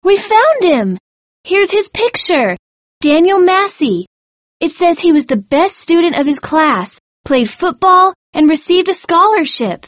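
A woman voicing an eager, excitable young female amateur sleuth in an audiobook story, speaking clearly and emphatically. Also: high voice, normal speed, no echo, pitched above the performer's own voice. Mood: excited. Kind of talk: acted dialogue in audiobook story.

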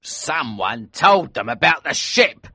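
A man's voice: low voice, sing-song, slow pace, pushed and bright, no room sound, exaggerated voice. A man voicing a grieving, vengeful smuggler father in a storybook, cold and menacing in his delivery. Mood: angry. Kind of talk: audiobook character acting.

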